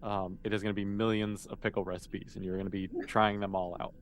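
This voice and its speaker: Low-voiced man running a tabletop game. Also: little pitch movement, quick pace, a little hiss or hum. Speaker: game master. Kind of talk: casual narrating of a tabletop roleplaying game.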